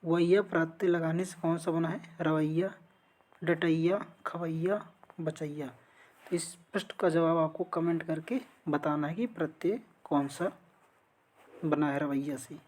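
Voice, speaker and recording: A woman's voice: sits low, steady tone, a little slow, darkish tone. A woman teaching an exam-prep lesson, reading out quiz questions and answering them in an instructional way. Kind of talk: lesson going through multiple-choice quiz questions.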